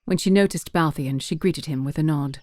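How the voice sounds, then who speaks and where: Low-voiced woman narrating fantasy. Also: fairly quick, a little mellow, dry recording. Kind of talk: scripted fantasy story narration.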